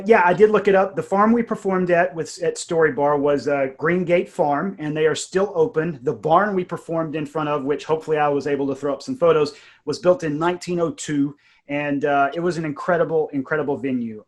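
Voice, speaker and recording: A man speaking casually and conversationally as the co-host and producer of an audio drama show. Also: fairly high pitch. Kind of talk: casual behind-the-scenes chat.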